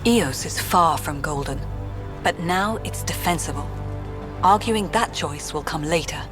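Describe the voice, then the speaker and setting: An actress playing a guarded, skeptical colonial official in a video game, speaking in a measured, dry, candid way. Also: female voice, sits low, music in the background. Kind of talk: video game dramatic dialogue.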